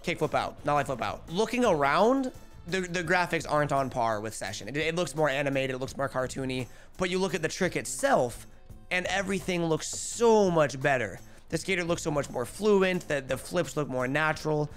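A young man in his twenties streaming a skateboarding game, chatty and energetic, calling out his tricks, a bit hoarse. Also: fairly high pitch, normal speed, soft background music. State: losing his voice. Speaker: gaming streamer. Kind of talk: live gameplay commentary. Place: home streaming room. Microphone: headset.

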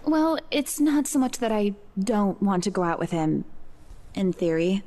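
A woman's voice: mid-range voice, big pitch swings, unhurried, warm tone. An actress playing a nervous, self-doubting young woman in a naturalistic audio drama. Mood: anxious. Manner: hesitant, nervous.

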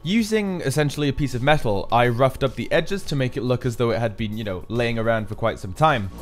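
Higher-pitched man narrating a DIY build over music. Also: brisk. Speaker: maker presenting a build. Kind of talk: scripted tutorial narration.

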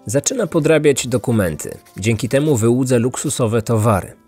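A man narrating a scripted true-crime podcast in measured, suspenseful phrases. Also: medium pitch, expressive, darkish tone, husky. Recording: dry studio voice over music. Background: background music.

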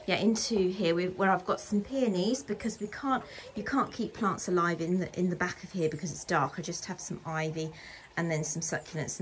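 Low-pitched English woman, noisy outdoor recording. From England. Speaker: gardening vlogger. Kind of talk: casual garden tour commentary. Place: outdoors walking around a garden. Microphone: handheld phone or camera behind the lens. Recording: outdoor handheld recording with background noise.

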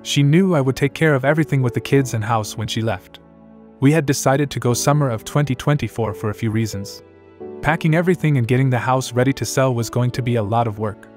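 A man in his forties reading a first-person account aloud in a calm, even way. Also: mid-range voice, fast talker. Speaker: narrator. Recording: soft music underneath.

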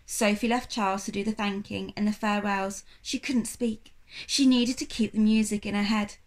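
A woman in her thirties giving an expressive read-aloud narration of a children's novel. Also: medium pitch, moderate pace. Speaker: storybook reader. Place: small indoor room, reclining on cushions.

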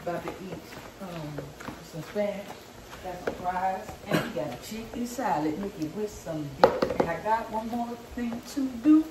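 A man's voice: high-pitched, lively intonation, normal speed, distant mic. A man helping cook in a home kitchen, answering in brief, laid-back replies. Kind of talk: casual chat. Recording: echoey kitchen, off-mic, background noise.

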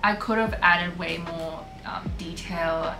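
Young woman, lowish voice, casual filler-heavy chat, home studio, background music. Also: takes it slowly, poor audio. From Hong Kong. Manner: chatty, many fillers, relaxed and candid. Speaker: concept artist. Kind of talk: casual talk over artwork. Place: small bedroom studio, indoors. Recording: music playing underneath.

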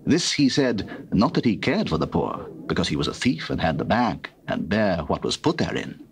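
A man's voice: lowish voice, sing-song, moderate pace. A man narrating a dramatized scripture in a formal, solemn storytelling manner.

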